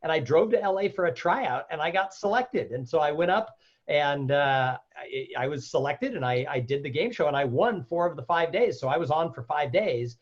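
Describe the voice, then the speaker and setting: A man guest on a podcast interview, a loud, animated storyteller who acts out the voices of people he quotes. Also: a little high, normal speed, lo-fi sound. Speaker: guest, keynote speaker.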